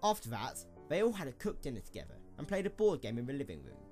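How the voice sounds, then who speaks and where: Animated male storyteller over soft music. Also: mid-range voice, quick pace. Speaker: narrator. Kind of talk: scripted story narration.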